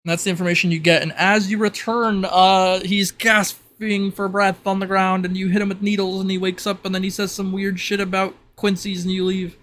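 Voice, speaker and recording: A man improvising narration as a tabletop game master in a halting way. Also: high-pitched, flat delivery, a bit bright. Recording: clearly noisy background.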